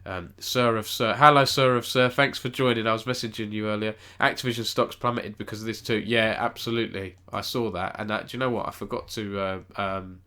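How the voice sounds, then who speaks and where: A man's voice: lowish voice, fairly even intonation, a little fast, hardly any pauses, pushed and bright, clear, resonant tone. Nasal man with a cold. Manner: rambling, self-correcting, chats with viewers. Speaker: esports news host. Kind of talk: casual live-stream news roundup.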